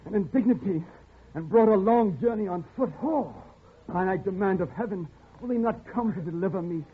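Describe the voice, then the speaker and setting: A man's voice: high-pitched, moderate pace, slightly rough. An actor playing a desperate, fervent captive man with intense, theatrical delivery, in the manner of 1940s radio. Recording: tinny old radio broadcast with music.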